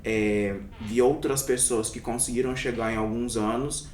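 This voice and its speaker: A man's voice: fairly even intonation, moderate pace, darkish tone, far from the mic. A young adult man from Brazil answering interview questions as a doctor, reflective and hesitant, with many fillers and restarts. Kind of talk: casual interview. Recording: echoey room, somewhat off-mic, faint rumble.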